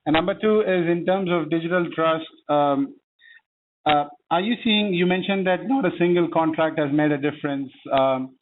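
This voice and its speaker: A man calling in as a financial analyst, politely asking a list of questions with frequent pauses. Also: fairly high pitch. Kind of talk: asking questions on an earnings call. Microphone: phone. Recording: phone line with faint noise.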